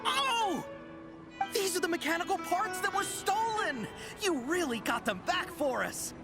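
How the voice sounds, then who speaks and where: A woman's voice: very high voice, lively intonation, unhurried, heavily acted. A woman voicing a grateful female caravan merchant in a game, effusive and generous. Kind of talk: game voice acting. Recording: game audio under loud music.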